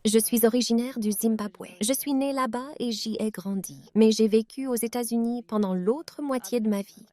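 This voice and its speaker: Woman, guest dub, higher pitch, mellow, dry sound. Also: normal speed, few pauses. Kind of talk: scripted interview voice-over.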